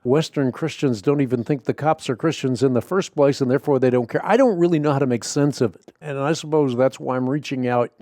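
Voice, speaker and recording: A man from the Netherlands whose first language is Dutch, hosting a radio interview in an earnest, measured, emphatic way. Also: medium pitch, dark tone, dry recording, studio quality. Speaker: radio show host. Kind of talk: interviewing a guest.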